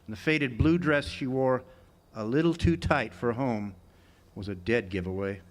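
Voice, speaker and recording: A man's voice: medium pitch, normal speed, close to an everyday voice. An actor playing a wry, hard-boiled drifter who narrates his own tale in a live noir radio drama. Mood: wry, musing. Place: live theater stage. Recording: noisy live amphitheater stage recording.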